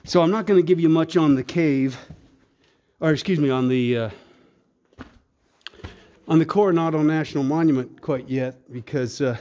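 A man's voice: a little high, normal speed, pauses often, dark tone. A man narrating a casual off-the-cuff vlog, rambling and thinking aloud in a folksy way. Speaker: vlogger exploring a cave. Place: cave trail.

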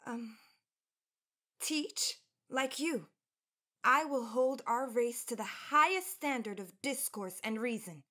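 High-voiced, earnest college woman. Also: slow pace, pushed and bright, heavily acted. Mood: admiring, earnest. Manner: formal, careful elocution. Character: earnest, proud young college woman of the 1910s. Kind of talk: period radio drama acting.

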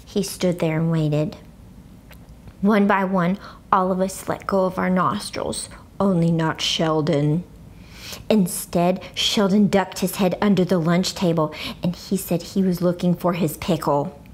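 A woman in her thirties reading a children's book aloud as an expressive, dramatic storyteller. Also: on the low side, unhurried. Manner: animated, dramatic. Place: furnished living room, indoors. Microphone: lapel mic.

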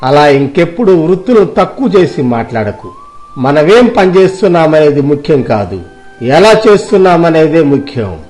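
A man's voice: a little high, sing-song, moderate pace, darkish tone, faint music behind. A man playing a principled, kindly middle-aged farmer in a cartoon story, earnest and preachy. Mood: firm admonishing.

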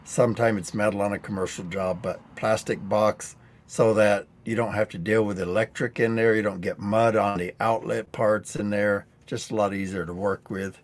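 A man in his sixties hosting a live DIY training stream, going a bit hoarse, explaining tricks in a dry-humored, rambling way on a headset mic indoors. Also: on the low side, fairly even intonation, normal speed. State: getting hoarse. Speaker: DIY channel host. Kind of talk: live-stream teaching chat. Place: indoor studio set.

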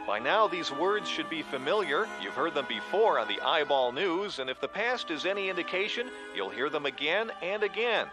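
An actor playing a news reporter in a radio drama, narrating in a dramatic style. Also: male voice, a little high, very animated, moderate pace, few pauses, over music. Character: excited television news reporter.

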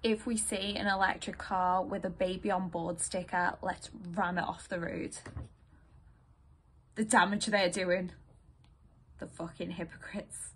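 A woman performing a dramatic stage monologue as a distraught, bitter, grieving partner in a couple, husky, halting and raw. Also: lowish voice, steady tone, a little hiss or hum, lightly acted. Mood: bitter, reckless.

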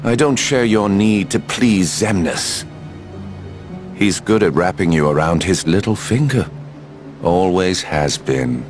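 An actor playing a cool, suave, skeptical villain in a video game, speaking in a measured, dry, condescending way. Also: male voice, low-pitched, animated, music in the background. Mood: dismissive. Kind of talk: video game cutscene acting.

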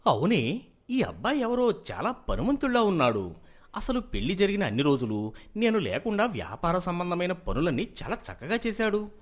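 A man acts a rich middle-aged merchant in a moral tale, proud and concerned with status. His voice sits fairly high and swings widely as he argues and concedes. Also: moderate pace, full character voice. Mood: agreeable. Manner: animated, self-justifying, conceding. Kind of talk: moral story dialogue.

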